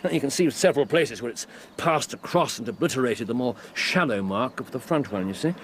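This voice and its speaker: A man's voice: medium pitch, expressive, fairly quick, a bit bright, a little hiss or hum, close to an everyday voice. An actor playing a brilliant, self-assured English detective in British radio drama style, explaining his reasoning aloud and repeating himself. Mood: excited, urgent. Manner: didactic, thinks aloud, exclaims and repeats himself. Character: brilliant, brisk male detective, keenly absorbed and self-assured.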